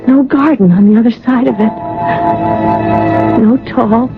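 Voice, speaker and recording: A woman's voice: a little high, measured pace. An actress playing a lovelorn, anxious young woman in a radio drama, earnest and wistful. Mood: wistful, sad. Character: lovelorn, anxious young woman adrift abroad, narrating her story. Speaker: lead actress and narrator. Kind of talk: radio drama acting and narration. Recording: narrow-band old radio broadcast, music.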